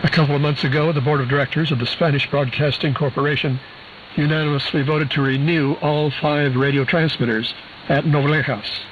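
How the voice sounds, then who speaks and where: A man's voice: on the high side, steady tone, a little fast. A man presenting a scripted shortwave news bulletin, precisely reading out figures and spelled letters. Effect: shortwave radio transmission. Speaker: radio program presenter. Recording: muffled, hissy shortwave radio broadcast.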